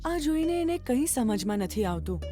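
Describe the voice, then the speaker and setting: A woman's voice: high-pitched, very animated, moderate pace, dark tone. A woman narrating a scripted children's story as its storyteller. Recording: music bed behind narration.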